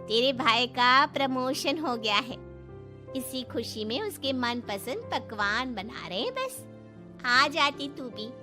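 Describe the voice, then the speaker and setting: A woman voicing a soft-hearted, doting middle-aged mother in an animated story, tender and coaxing. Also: high-pitched, takes it slowly, over music. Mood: affectionate.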